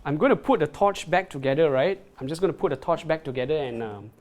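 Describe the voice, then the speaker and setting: A man's voice: fairly high pitch, lively intonation, quick pace, darkish tone. A man presenting a product on a livestream, demonstrating it and reading viewer comments, often repeating words and checking in with "right?".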